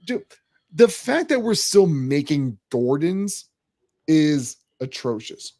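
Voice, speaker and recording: Forty-something male host, a little high-pitched, playful and animated, trips over his restarts. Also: takes it slowly. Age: 40s. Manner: animated, teasing, stammers on restarts. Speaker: podcast host. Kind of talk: casual sports chat.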